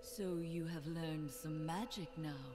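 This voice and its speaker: A woman's voice: low voice, slow pace, music in the background. A woman playing a grim, commanding female necromancer in a fantasy video game, terse and solemn. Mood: cool, questioning. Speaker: player character.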